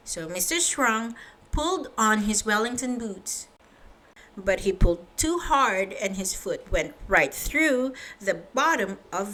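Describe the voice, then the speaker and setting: A woman's voice: mid-range voice, sharp tone, rough recording. A woman reading a children's story aloud, narrating and speaking directly to young listeners.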